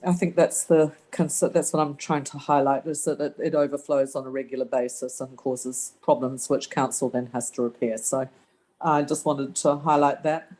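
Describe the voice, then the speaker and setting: A woman's voice: a bass voice, fairly even intonation, normal speed, a little mellow, poor audio. Direct woman with a New Zealand accent. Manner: direct, pressing follow-up questions. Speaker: resident asking questions. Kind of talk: community meeting question.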